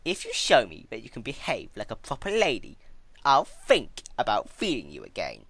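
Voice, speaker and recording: Guy doing a mean girly pony villain, raised pitch, mock sweet and gloating, swoopy delivery, storybook style. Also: wildly swooping pitch, normal speed, fairly bright tone, husky, no echo, full character voice. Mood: taunting. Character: cruel, mocking female pony villain, gloating and haughty.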